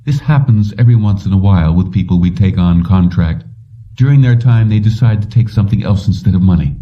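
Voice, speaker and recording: A man voicing a brisk, patient and matter-of-fact young woman paymaster in an audiobook story. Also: low voice, far from the mic, dull audio, lightly acted. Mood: insistent, reassuring.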